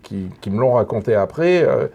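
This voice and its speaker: A man from northern France, a guest on a radio interview, telling his story slowly with many restarts and repeated words. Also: lowish voice, very animated, dark tone. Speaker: guest, retired police officer.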